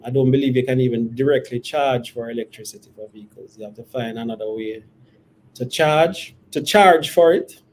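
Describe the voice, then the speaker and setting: Exec guy on an investor q&a, soft mellow tone, picks words carefully, bit of noise behind him. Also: medium pitch. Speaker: company executive. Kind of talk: answering investor questions on an earnings call. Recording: steady background noise.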